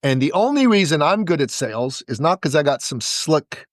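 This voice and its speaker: A man talking as a podcast guest and business coach, giving an energetic pitch and repeating words for emphasis. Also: moderate pace, no echo, studio quality.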